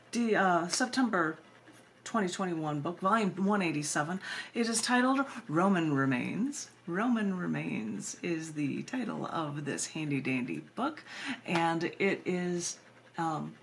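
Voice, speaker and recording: A woman with a fairly low voice introduces her poetry in an informal, chatty way. She wanders into asides with a playful streak. The amateur camera recording carries a faint layer of noise. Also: fairly bright tone. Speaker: poet and editor reading own work.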